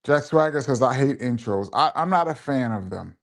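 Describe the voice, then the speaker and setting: A man's voice: medium pitch, lively intonation, normal speed. Man hosting a casual live stream. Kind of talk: casual live chat, reading viewer comments and reminiscing.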